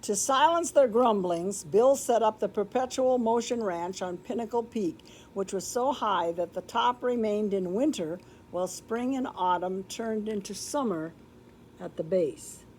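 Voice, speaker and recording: An older woman reading a children's story aloud in a deliberate, expressive way, with explanatory asides. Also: medium pitch, a little hiss or hum. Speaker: storybook reader. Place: indoor room with books, like a classroom or library.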